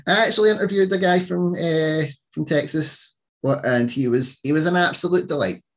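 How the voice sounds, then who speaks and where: A man's voice: a little high, moderate pace. A Scottish man in his thirties hosting a podcast interview, telling stories in a rambling way with many restarts. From Scotland. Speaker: podcast host. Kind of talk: casual interview chat.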